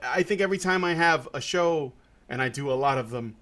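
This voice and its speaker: A man's voice: a little high, a little fast, fairly bright tone. Rambling man hosting a podcast. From Puerto Rico. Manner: rambling, many restarts and fillers. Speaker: podcast host. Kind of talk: casual chat.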